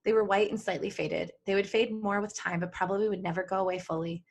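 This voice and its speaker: A woman's voice: medium pitch, fairly even intonation, quick pace, creaky. Woman reading a story aloud, casually. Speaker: podcast co-host reading fan fiction aloud.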